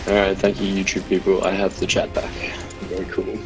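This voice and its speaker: A man's voice: low voice, monotone, a little fast. A man hosting a live game stream over a voice call, reading chat questions in a chatty, rambling way. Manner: chatty, rambling, laughs easily. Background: game music.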